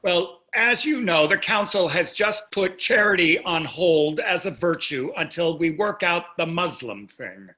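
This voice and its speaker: A wealthy, complacent older husband in a stage play. The voice sits a little high and is notably bright and forward. He needles drily and condescends through endearments. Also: lively intonation, measured pace, hardly any pauses, heavily acted. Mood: dismissive.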